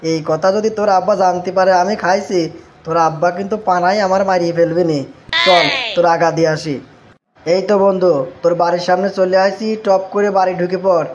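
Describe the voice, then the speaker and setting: Man as a fast-talking bossy cartoon drinking buddy. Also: high-pitched, steady tone, highs cut off, lightly acted. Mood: cautioning. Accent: rural Bangladeshi. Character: scolding, mocking young male drinking buddy, bossy. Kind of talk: Bengali comic cartoon voice acting.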